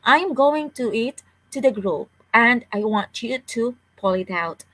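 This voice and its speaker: Woman playing storybook father, calm, reassuring, practical, fairly high voice, measured pace. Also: low-quality recording.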